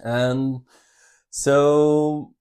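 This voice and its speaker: Flemish guy in his 30s telling his story, slow and earnest, stops and restarts a lot. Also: medium pitch, flat delivery, darkish tone, clear, resonant tone. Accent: Flemish Belgian. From Belgium. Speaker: channel co-host. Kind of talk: personal life story, casual talk.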